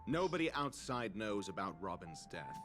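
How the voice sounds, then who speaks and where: An actor playing a haughty, dry man who speaks in an aloof, pompous, measured way in a video game. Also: fairly low pitch, very animated. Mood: cool disdain. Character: haughty, dry male associate reporting coolly. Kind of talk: dramatic video game acting. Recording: music behind the voice.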